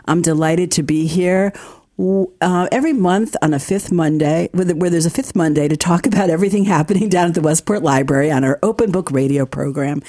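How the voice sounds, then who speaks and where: A woman's voice: on the low side, a little fast, mellow, dry recording, studio quality. A woman hosting a radio program in a conversational, upbeat and promotional way. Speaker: radio host, library community partnership director.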